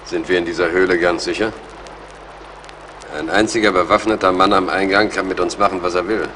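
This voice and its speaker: A man plays an earnest British officer in an audio drama. The voice is deep, the manner courteous and cautious, with faint ambient noise behind. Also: steady tone, brisk, natural voice. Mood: wary. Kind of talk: German audio drama acting.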